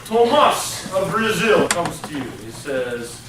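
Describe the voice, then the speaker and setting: A man's voice: fairly high pitch, big pitch swings, slow, noisy background. A man teaching a college hospitality class, animatedly acting out business deals with his students. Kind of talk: interactive classroom lecture. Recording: echoey classroom from far off.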